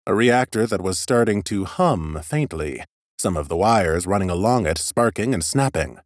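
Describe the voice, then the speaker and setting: A man reading expressive first-person scripted narration as an audiobook narrator. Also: low voice, moderate pace, no room sound, studio quality.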